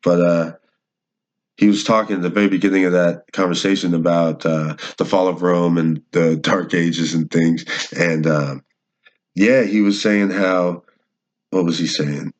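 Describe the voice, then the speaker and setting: A man's voice: sits low, fairly even intonation, a little slow, highs cut off. A man who reads aloud from a book and adds informal, rambling commentary. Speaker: channel host reading a book. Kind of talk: book reading with casual commentary.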